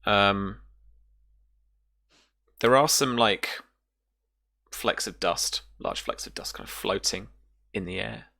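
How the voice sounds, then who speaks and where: A man narrating a tabletop role-playing game as game master in an improvised, halting way, pausing to find his words. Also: fairly low pitch, vocal fry, sharp tone.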